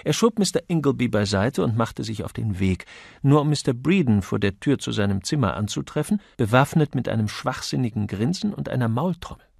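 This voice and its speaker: A male audiobook narrator reading literary prose. His voice is creaky and of medium pitch, recorded very dry and close. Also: lively intonation, a little fast, a little mellow, no room sound.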